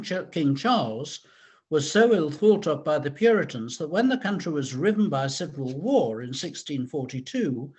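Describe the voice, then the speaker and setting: A man reading a scripted history talk in a measured, explanatory way. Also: a little high, husky. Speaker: researcher and reader of a local history talk.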